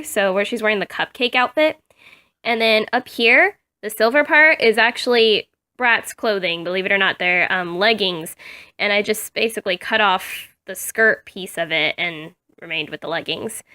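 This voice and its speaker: A woman with a voice slightly husky from a cold, chatting casually with lots of ums and self-corrections as she shows off dolls she customized. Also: medium pitch, bright, forceful tone. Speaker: doll customizer showing her work. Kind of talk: casual show-and-tell vlog. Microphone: phone camera.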